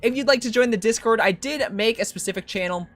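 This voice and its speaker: A woman's voice: a little high, fast, soft background music. A woman hosting a gaming stream, commenting in a casual, informal, chatty way. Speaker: streamer, host.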